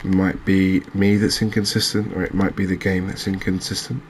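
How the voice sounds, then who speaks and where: A man giving gentle, unhurried ASMR commentary as he plays a game, pausing and hesitating as he goes. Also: low-pitched, little pitch movement, a little hiss or hum. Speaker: host of a gaming channel. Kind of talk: ASMR let's-play commentary.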